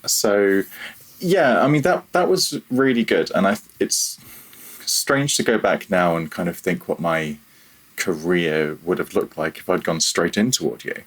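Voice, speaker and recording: Very low-voiced man, slightly husky. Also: measured pace. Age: 30s. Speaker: podcast guest, audio programmer. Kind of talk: casual interview chat.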